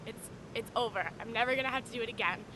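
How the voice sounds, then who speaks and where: A woman telling a funny personal story in an animated way. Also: a little high, fast talker, background noise. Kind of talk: personal interview recollection.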